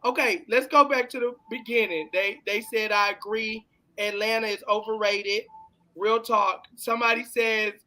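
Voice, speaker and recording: A man with a Texas accent hosts a casual live show. His voice is bright and forward, and he chats in a slangy, bantering way. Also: high voice, fairly even intonation, moderate pace. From California, long settled in Texas. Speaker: talk show host. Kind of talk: casual live-stream interview chat.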